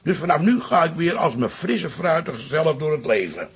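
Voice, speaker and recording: A cheeky old father-in-law in a radio play. His voice is fairly high for a man and crackles with gravel. He talks bluntly and teasingly, nosy and full of bluster. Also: big pitch swings, moderate pace, exaggerated voice. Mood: chatty, nosy. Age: older. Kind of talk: radio drama acting.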